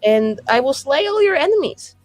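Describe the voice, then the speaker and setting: A woman's voice: sits high, big pitch swings, moderate pace, soft background music, voice pushed up. A woman voicing a brash, headstrong warrior lover in a tabletop game, bawdy and playful but earnest. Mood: teasing, tender.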